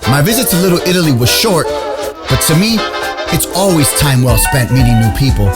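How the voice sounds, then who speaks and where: Upbeat male street host from Chicago, slightly high voice, loud music and crowd around him. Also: very animated. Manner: upbeat, chatty, quick to laugh. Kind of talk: casual interview and street vlog. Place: outdoors on a city street.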